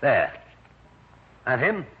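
An actor playing an eager, chatty, folksy newspaper reporter in an old-time radio drama. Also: male voice, medium pitch, huge pitch swings, extremely slow, slightly rough, full character voice. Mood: animated, thoughtful. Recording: tinny, noisy old radio recording.

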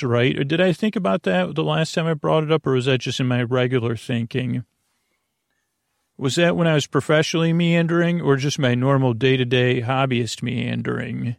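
Creaky-voiced man rambling softly at bedtime. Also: medium pitch, fairly even intonation, moderate pace, dry recording, clean studio sound. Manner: hesitant, digressive, trailing off into tangents; lulling, soothing. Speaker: host of a bedtime sleep podcast. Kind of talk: rambling, meandering bedtime monologue.

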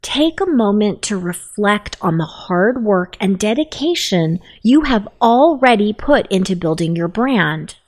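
A woman leading a guided meditation in a soft, soothing, unhurried voice. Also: mid-range voice, animated.

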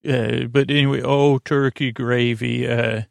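A man with a gravelly, creaking voice recorded close and dry. He tells a whimsical bedtime ramble, halting and doubling back on himself, with a soothing, mock-solemn touch. His pitch stays fairly level. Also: little pitch movement, no echo. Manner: meandering, halting, self-interrupting. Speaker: host of a bedtime sleep podcast.

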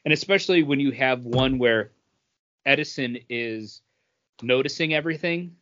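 A man co-hosting a casual review podcast, speaking conversationally and often pausing mid-sentence to find his words. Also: medium pitch, a bit bright, lo-fi sound. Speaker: podcast co-host reviewing comic books.

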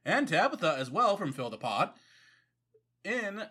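Joking podcast guy, kinda high voice, bouncy and animated. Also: breathy edge. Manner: joking, quick asides, laughs often. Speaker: podcast co-host. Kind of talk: casual chat.

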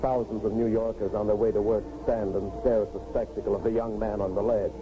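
An actor playing a plain-spoken, modest city cop who narrates in a 1950s radio drama. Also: male voice, fairly low pitch, level tone, quick pace, close to an everyday voice. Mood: tense narrating. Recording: noisy, muffled old radio broadcast.

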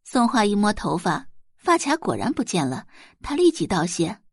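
A woman's voice: mid-range voice, very animated, normal speed, a little mellow, breathy edge, no room sound, clean studio sound. A woman narrating a dramatic audiobook story from a script.

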